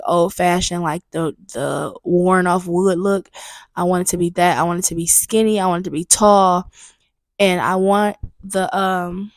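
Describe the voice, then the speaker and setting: A woman's voice: fairly low pitch, no echo. A young adult woman hosting a solo podcast, talking candidly and chattily, a bit stuffy, repeating herself for emphasis. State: slightly stuffy. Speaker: podcast host. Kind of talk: solo casual podcast talk. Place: booked studio room.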